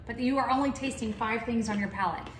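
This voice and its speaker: Enthusiastic Texas-accented woman, higher voice, folksy class host; roomy echo, light noise. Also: fast talker. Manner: chatty and enthusiastic, folksy asides. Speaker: cheese shop founder teaching a tasting class. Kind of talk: casual tasting class. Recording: echoey room, faint background noise.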